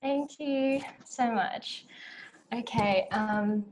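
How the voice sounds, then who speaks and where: Slow, calm young woman hosting. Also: on the high side, slight background noise, poor audio. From Australia. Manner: calm, soft counting, warm welcoming. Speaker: conference host. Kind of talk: guided breathing, then welcoming talk. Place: small room indoors. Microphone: video call webcam.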